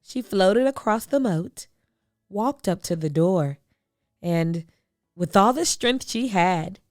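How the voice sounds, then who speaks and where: A woman reading a children's storybook aloud, expressively, with deliberate phrasing and dramatic pauses. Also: mid-range voice, lively intonation, no echo, studio quality, faint music behind. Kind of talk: expressive picture-book read-aloud.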